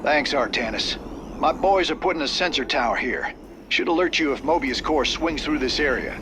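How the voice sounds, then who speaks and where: Gruff weary rebel commander acted by a man, Southern American drawl, folksy, video game dialogue, battle noise or music behind. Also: medium pitch, animated, moderate pace, natural voice. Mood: urgent, gruff. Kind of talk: video game cinematic and mission dialogue acting.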